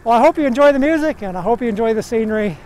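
A man's voice: very high voice, animated, racing, a little mellow, rough recording. An older man talking casually to camera while riding a bike on a country road, easygoing and plain-spoken. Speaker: vlogger.

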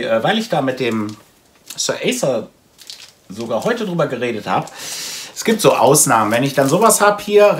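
A man in his forties talks casually to camera from a room at home. His voice sits a little higher than most men's. He rambles along, thinking out loud as he reviews his collection. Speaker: comic book vlogger. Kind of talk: casual chat to camera, reviewing a comic haul. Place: small room at home with packed shelves, indoors.

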